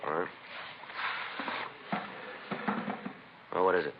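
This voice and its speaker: An American man playing a terse, dogged police detective in a 1950s radio crime drama, speaking in a deadpan, clipped, businesslike way. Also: sits low, rapid-fire, close to an everyday voice. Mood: weary, dogged. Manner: deadpan, clipped, matter-of-fact. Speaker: detective and narrator. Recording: dull, noisy vintage radio recording.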